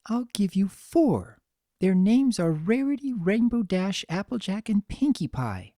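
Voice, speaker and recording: A woman voicing a gentle, anxious young female cartoon pony, earnest, soft and fretful. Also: mid-range voice, sing-song, a little slow, no echo, studio quality, voice pushed up. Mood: hurt, pleading.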